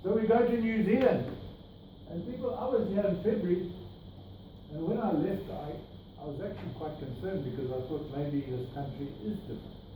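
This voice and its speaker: A middle-aged South African man gives an explanatory slide talk, pointing things out with rhetorical asides. His voice sits fairly high and is caught by a distant camera in a very echoey meeting room. Steady background noise runs under the whole recording. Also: a little fast, narrow, tinny audio. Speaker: grazing workshop presenter. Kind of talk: slide talk to a workshop group. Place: meeting room with projector screen. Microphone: far camera, no mic.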